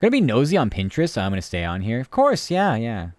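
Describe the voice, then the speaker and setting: A man's voice: medium pitch, huge pitch swings, fast, a little mellow, no room sound, clean studio sound. A man streaming live, chatting casually and rambling from topic to topic while answering his chat.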